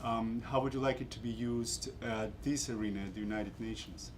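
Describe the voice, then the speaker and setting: A man's voice: lowish voice, steady tone, a little fast, noisy background. A man from the audience asking a tentative question with fillers and rephrasing. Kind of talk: question from the floor.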